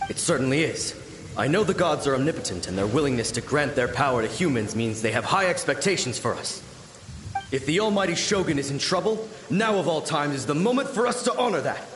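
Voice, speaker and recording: A man's voice: fairly high pitch, expressive, fairly quick, a bit bright. An actor playing a loyal, dutiful male samurai soldier who speaks in earnest, formal military phrasing. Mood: resolute. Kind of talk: video game dialogue. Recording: echoey with noise.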